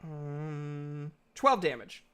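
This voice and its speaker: A slow-paced, fairly high-voiced man acts as game master for a casual tabletop game. His narration is informal and slangy, and it comes out in halting pieces. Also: fairly even intonation, bright, forceful tone, smooth.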